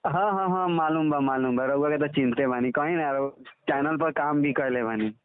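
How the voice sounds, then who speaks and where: A man's voice: a little high, normal speed, slight background noise. A man with a Bhojpuri accent chatting casually on a recorded phone call as a guest, agreeable and often repeating himself. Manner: eager, agreeable, repeats short affirmations. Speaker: comedian guest.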